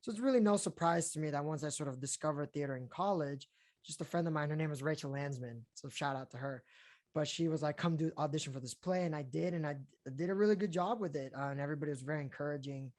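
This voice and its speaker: Relaxed, chatty young man. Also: a little high, quick pace. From Colombia, raised in Florida and Houston. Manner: relaxed and chatty, with many fillers and easy laughs. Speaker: actor guest. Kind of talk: casual interview talk.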